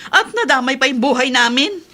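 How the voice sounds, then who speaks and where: Spiteful, fed-up aunt for a Filipino radio drama: highish, piercing voice, exasperated delivery. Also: female voice, lively intonation, brisk. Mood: angry, exasperated. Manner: sharp, exasperated complaining.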